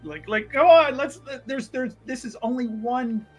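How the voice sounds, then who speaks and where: A high-pitched voice pushes and hypes the group as a brash party ringleader acted by a man at a game table. He is cocky and bossy, with casual swearing in a tabletop fantasy game over faint music. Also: measured pace, husky, full character voice. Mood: breezy, upbeat. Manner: pushy, hyping, casual swearing.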